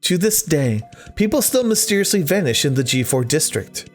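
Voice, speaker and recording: A man's voice: on the high side, very animated, normal speed, faint music behind. A man hosting a let's play, reading visual novel text aloud expressively with casual commentary. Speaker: gaming video host.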